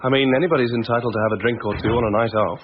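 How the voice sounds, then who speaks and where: A man's voice: mid-range voice, fairly even intonation, rapid-fire, muffled recording, lightly acted. A man playing an eager, cheeky junior police officer in a radio drama. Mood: teasing. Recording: band-limited, slightly noisy radio drama.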